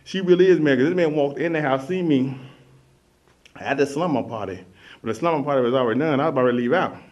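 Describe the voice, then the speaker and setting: A man's voice: medium pitch, fast, muffled recording. A man casually telling a long story, acting out voices and sounds. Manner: animated, acts out voices and sounds.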